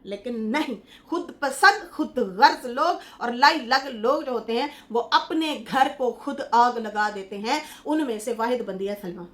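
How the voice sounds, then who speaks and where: A woman delivers heated commentary, scolding and retelling what others said. Her voice sits a little high for a woman, and the delivery stays animated. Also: a little slow, few pauses. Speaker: commentary vlogger.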